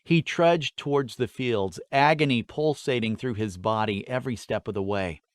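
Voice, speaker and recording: A man narrates an epic fantasy audiobook in an earnest, dramatic way. His mid-low voice is recorded very dry, with hardly any room around it. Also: normal speed, no echo. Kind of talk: scripted fantasy story narration.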